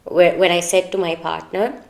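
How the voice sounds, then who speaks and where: Woman, slightly low pitch, talkative, earnest, candid podcast chat, advice-giving. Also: level tone, moderate pace, gravelly. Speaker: podcast co-host.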